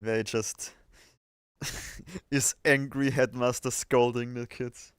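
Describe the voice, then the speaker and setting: A man's voice: mid-range voice, steady tone, takes it slowly, smooth, no room sound, clean studio sound. A man hosting a casual livestream chat with effusive enthusiasm. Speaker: livestream host.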